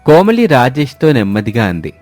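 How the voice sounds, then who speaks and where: A man tells a scripted moral tale as narrator in a medium-pitched voice. The recording is dry, with music playing underneath. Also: wildly swooping pitch, normal speed, a little mellow, no echo. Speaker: storyteller, narrator. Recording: dry studio, music behind.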